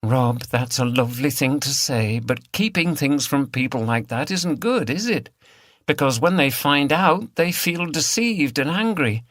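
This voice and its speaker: A man acts out an anxious, apologetic woman in a mystery audiobook. The voice is lifted in pitch, earnest and emotional, in a very dry recording. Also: lively intonation, a little slow, a bit bright, dry recording. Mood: gently reproachful.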